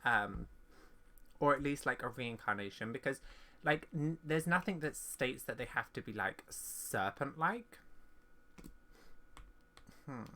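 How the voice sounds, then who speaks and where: A man giving casual, informal reaction commentary while playing a video game, musing aloud in an animated way. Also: medium pitch, measured pace, pauses often.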